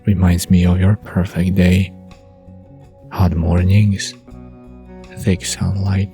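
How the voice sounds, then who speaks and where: A man playing a wistful, dreamy man recalling the past in a soft, murmuring bedtime drama monologue. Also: deep voice, little pitch movement, takes it slowly, soft background music. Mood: dreamy, haunted.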